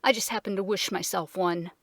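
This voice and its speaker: A woman's voice: medium pitch, steady tone, brisk, fairly bright tone, no room sound, clean studio sound. Woman putting on a wheezy, doubtful storybook dromedary. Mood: dry doubt. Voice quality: tremulous, wheezy. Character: gloomy, dubious, wheezy male dromedary.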